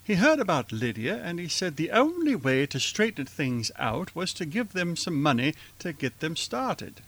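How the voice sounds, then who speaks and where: Radio play role: kindly old father, male performer, lightly raised pitch, highly expressive, hesitant fond delivery, dry sound. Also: normal speed, heavily acted. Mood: hesitant, gentle. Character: mild, affectionate elderly gentleman father, gently teasing. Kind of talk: radio theater acting.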